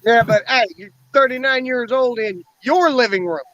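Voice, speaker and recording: A man's voice: very high voice, moderate pace, a bit bright. Blunt, profane man in his forties. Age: 40s. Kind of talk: casual chat. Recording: dull lo-fi dry sound.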